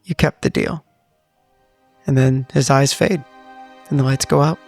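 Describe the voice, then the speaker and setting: A man's voice: medium pitch, fairly even intonation, a little slow, gravelly, a little mellow, soft background music. A man playing a tabletop role-playing game, describing his character's actions and chatting casually.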